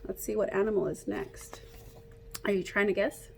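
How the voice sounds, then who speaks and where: A woman reading a picture book aloud like a teacher, pausing to explain and ask young children questions. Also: medium pitch, steady tone, creaky, background noise. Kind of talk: interactive children's read-aloud.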